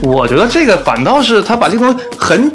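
A man's voice: high voice, sing-song, fast. A man co-hosting a podcast in a casual, conversational music chat. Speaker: podcast host.

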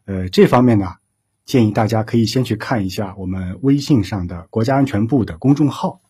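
A man speaking as an official interview guest, explaining things formally in measured phrases. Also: fairly low pitch, warm tone, breathy edge.

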